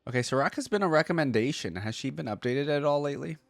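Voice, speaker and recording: A man's voice: fairly high pitch, animated, quick pace, gravelly, a crowd in the background. A man hosting a reaction video, commenting casually in short, laid-back remarks as he muses aloud.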